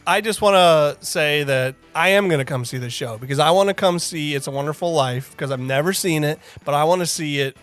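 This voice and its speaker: A man's voice: a little high, brisk, few pauses, fairly bright tone, soft background music. A man co-hosting a podcast in casual banter, joking and teasing his guests. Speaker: podcast co-host.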